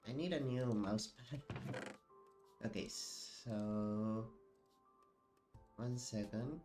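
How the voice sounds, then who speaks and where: A sniffly man talks slowly and loosely into a desk mic while he plays, filling his sentences with "like" and starting over mid-thought. Faint game music sits low behind his voice. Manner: rambling and chatty, many "like"s and self-corrections. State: sniffly from allergies. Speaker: streamer playing a video game. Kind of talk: casual live-stream chat while playing. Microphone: desk microphone.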